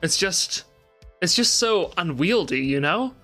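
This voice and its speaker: A man's voice: high-pitched, lively intonation, a little slow, sharp tone, faint music behind, heavily acted. A man playing an obsessed, distressed interview subject in a dramatic reading, agitated and repetitive, with swearing. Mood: haunted fixation.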